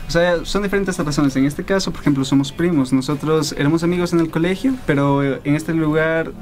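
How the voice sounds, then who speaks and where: A young man from Ecuador answers questions about his band in a music studio. His voice sits a little high. He rambles, pausing on fillers as he explains. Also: fairly even intonation, darkish tone. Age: 20s. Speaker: band guitarist. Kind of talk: casual interview answers.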